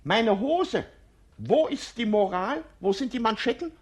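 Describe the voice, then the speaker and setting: An actor playing a pompous, muddled old king in a 1950s radio play, raspy and gravelly, talking in flustered philosophical fuss. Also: sits high, expressive, unhurried, full character voice.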